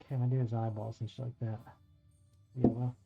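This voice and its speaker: A man's voice: medium pitch, little pitch movement, fast talker, vocal fry. A middle-aged man chatting casually on a live stream while painting miniatures, rambling and swearing now and then as he talks to viewers. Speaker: streamer and dungeon master. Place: home room by a window. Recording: dull lo-fi audio with faint music.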